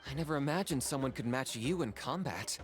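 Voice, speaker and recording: A wary, serious young warrior played by a man in a video game. His pitch moves in a lively way, and loud music runs underneath. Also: brisk, natural voice. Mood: wary, urgent.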